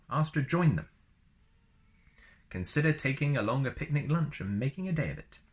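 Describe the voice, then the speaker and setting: A man's voice: fairly low pitch, a bit bright. A man reading a book aloud as a storyteller, chatty and often slipping into casual asides. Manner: chatty, wanders into personal asides.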